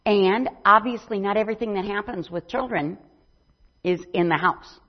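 A woman's voice: medium pitch, moderate pace. An older woman giving a chatty mission presentation at church, rambling with many ums. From not from Brazil. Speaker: missionary speaker. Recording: dull lo-fi sound.

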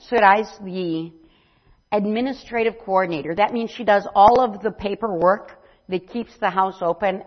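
An older woman giving a chatty mission presentation at church, rambling with many ums. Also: lowish voice. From not from Brazil. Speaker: missionary speaker. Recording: dull lo-fi sound.

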